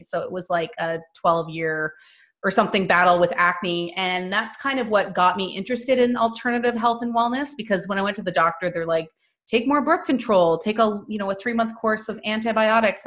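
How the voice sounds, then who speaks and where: A woman's voice: medium pitch, fairly bright tone. A woman from Canada, a business coach, telling her story as a podcast guest in a chatty, upbeat, rambling way. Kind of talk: casual interview storytelling.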